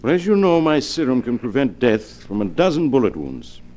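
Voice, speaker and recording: A man acting a cold, calculating scientist in 1940s radio-drama style, speaking in a measured, deliberate way. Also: medium pitch, very animated, mellow, lightly acted. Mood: cool.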